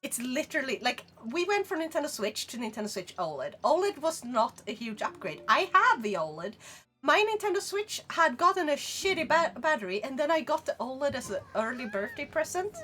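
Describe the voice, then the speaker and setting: Swedish girl streamer rambling to chat, super bouncy pitch, faint music behind. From Sweden. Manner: animated, rambling, laughs often. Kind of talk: casual chat with live chat.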